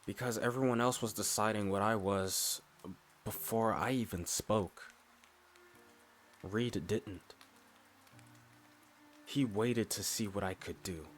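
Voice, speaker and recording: A man playing a blunt, steady, reassuring furry character in a scripted ASMR roleplay, speaking in short, deliberate phrases. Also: fairly low pitch. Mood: matter-of-fact, fond. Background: music.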